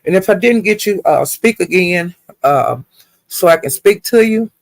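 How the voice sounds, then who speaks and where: A woman's voice: fairly low pitch, animated, normal speed, creaky, no room sound, poor audio. An older woman, chatting casually to live viewers as a livestream host in a folksy, rambling, salty-tongued way.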